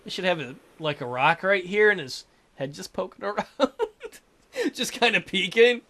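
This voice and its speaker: A man's voice: sits high, lively intonation, normal speed, pushed and bright. A man doing a let's play of a visual novel, reading it out and throwing in irreverent, crude wisecracks. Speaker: let's player.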